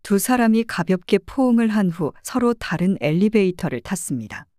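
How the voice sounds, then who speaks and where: Expressive woman storyteller, mellow voice. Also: medium pitch, normal speed, no room sound, clean studio sound. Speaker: narrator playing a private detective. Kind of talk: audio drama story narration.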